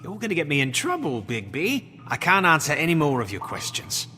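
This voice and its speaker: Bright, cocky, reverberant thug. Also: male voice, on the high side, huge pitch swings, fairly quick, soft background music, heavily acted. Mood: evasive, cheeky. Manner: flippant, slangy, defensive. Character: cocky, evasive male street thug under questioning. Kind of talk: video game voice acting.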